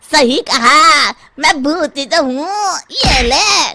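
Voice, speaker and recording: A woman's voice: high voice, expressive, very slow, background noise, heavily acted. A woman voicing a spiteful male village thief in a cartoon story, with an exaggerated whiny, gloating delivery. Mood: gloating, vengeful. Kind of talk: Hindi animated moral story voice acting.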